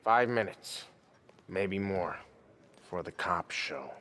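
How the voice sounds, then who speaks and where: A man's voice: deep voice, expressive, takes it slowly, fairly bright tone, heavily acted. An American man playing a weary, restless, crude-talking gangster of nearly forty in a cinematic video game. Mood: grim, hushed. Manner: streetwise, crude, slangy. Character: weary, restless male gangster nearing forty, bitter dreamer. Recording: music behind the dialogue.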